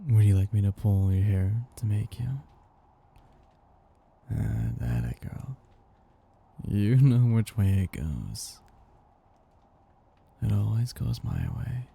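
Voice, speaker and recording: A man voicing a seductive, possessive vampire master in an ASMR roleplay, breathy and gravelly, speaking in a slow, gently domineering, teasing way. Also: fairly low pitch, heavily acted. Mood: teasing, commanding. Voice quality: breathy, gravelly, often dropping into whispers. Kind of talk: ASMR roleplay, intimate scripted monologue.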